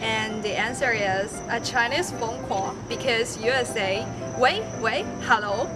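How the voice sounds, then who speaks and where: Bright-voiced woman, amused, over music. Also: on the high side, a little slow, in a large hall. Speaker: student orientation leader. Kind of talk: short interview answers, telling a joke. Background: loud background music.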